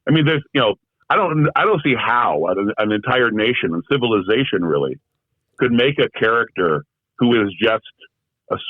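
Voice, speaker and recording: A man's voice: lowish voice, lively intonation, normal speed, dry recording. A man being interviewed as a guest, talking in a thoughtful, rambling way with lots of fillers and restarts, heard over a remote call. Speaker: guest, translator. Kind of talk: casual conversational interview. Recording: tinny phone-like remote call audio.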